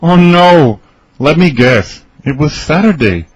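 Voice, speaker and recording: A man reading his part in a scripted English conversation for learners, his voice slightly husky. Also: fairly high pitch, lively intonation, takes it slowly. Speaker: dialogue reader for English learners. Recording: dry, slightly dull studio recording.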